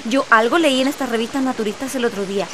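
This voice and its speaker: A chatty young Chilean woman, an actress as a student big sister in a drama. Her voice is high and expressive, recorded outdoors with clear background noise. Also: fast, lightly acted. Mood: chatty, pleased. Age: young adult.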